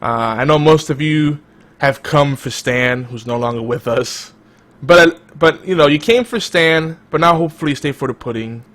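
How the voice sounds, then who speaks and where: A man hosting a casual podcast chat, talking in a hyped-up, loud, energetic way. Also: fairly high pitch, normal speed. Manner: energetic, self-described loud and hype. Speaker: podcast host.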